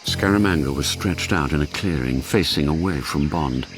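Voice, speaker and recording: Narrator for radio thriller: man, very low voice, dramatic scripted delivery, music underneath. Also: moderate pace.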